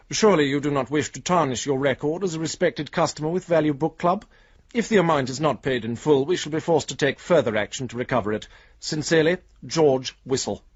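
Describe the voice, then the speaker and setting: An actor playing an officious company manager, voicing formal payment demands in a radio drama. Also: male voice, mid-range voice, breathy edge, lo-fi sound, natural voice. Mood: reproachful, formal. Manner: formal, official letter-reading. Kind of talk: radio drama letter monologue.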